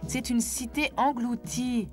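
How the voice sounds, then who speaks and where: A woman voicing an eager, adventurous young boy in a cartoon, excitable and cheeky. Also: fairly high pitch, slow. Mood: excited. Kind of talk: cartoon dub in French. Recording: music behind the voice.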